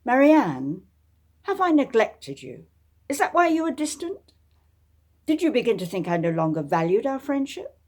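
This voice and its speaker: An earnest young gentleman of the Regency voiced by a woman reader, formal and tenderly devoted. He speaks with sincere, questioning care, as in a romance audiobook. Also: on the high side, sing-song, moderate pace, pitched above the performer's own voice. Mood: concerned, questioning. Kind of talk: dramatic romance audiobook reading.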